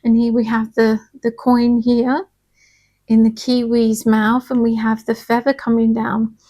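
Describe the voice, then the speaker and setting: Woman card reader, a little hoarse, pitched a touch high, gentle and chatty with listeners. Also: monotone, measured pace. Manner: soft, chatty, reassuring, checks in with "okay?". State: slightly hoarse from singing. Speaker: tarot and angel card reader. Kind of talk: casual spiritual card reading.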